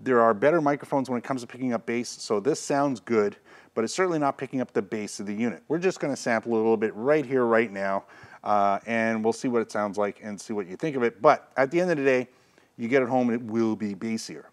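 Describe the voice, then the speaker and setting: A man's voice: mid-range voice, fast. A middle-aged man giving a casual, plainspoken product demonstration. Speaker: product reviewer and retailer. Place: cluttered audio gear shop, indoors. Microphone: lavalier on shirt, condenser beside camera.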